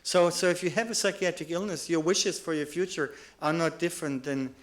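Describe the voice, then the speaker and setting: A middle-aged man with a German accent speaks to an audience in a lecture hall. His voice sits slightly high for a man. He is earnest and often repeats a word before going on. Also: a little fast. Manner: earnest, with many restarts and repeated words. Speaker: psychiatrist giving a lecture. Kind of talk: conference lecture.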